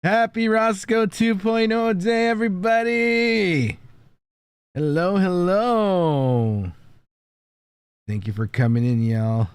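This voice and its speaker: A man's voice: sits high, slow pace, clear, resonant tone, no echo. A man streaming live, chatting casually and thanking viewers by name, laughing often. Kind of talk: casual livestream chat. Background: faint background music.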